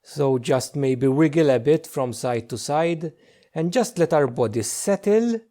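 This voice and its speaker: Guy doing a guided meditation, really mellow warm voice, slow n gentle, lots of pauses. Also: on the high side, animated. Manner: slow, hushed, gentle delivery with long pauses. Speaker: meditation teacher.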